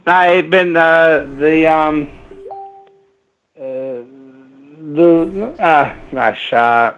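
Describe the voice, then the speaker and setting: A man's voice: fairly high pitch, a bit bright. A man from Minneapolis whose first language is Latvian, calling a radio show from his front porch and rambling hesitantly with many fillers. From Minneapolis, United States. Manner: hesitant, halting, full of fillers. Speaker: caller. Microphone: phone. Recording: dull phone line.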